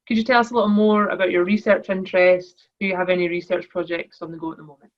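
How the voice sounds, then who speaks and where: A woman's voice: on the low side, level tone, fast. A woman interviewer asking friendly, brief questions. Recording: dull lo-fi sound.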